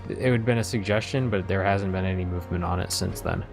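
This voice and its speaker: Male game commentator, low and gravelly, conversational, faint music behind. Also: fast. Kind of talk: casual chat over gameplay.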